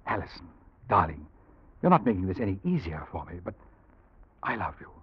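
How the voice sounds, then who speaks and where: An actor playing a deceitful, desperate suitor in a halting, melodramatic 1940s radio style. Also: male voice, deep voice, big pitch swings, slightly rough, full character voice. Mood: pleading, nervous. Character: deceitful, desperate foreign suitor turned killer, smooth-talking man. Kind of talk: 1940s radio drama acting. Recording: dull vintage radio recording.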